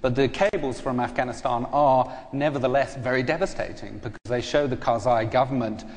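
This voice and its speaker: A man's voice: mid-range voice, steady tone, fairly quick. A British man, a former government analyst on a debate panel, arguing insistently and articulately. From United Kingdom. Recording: reverberant hall, faint noise.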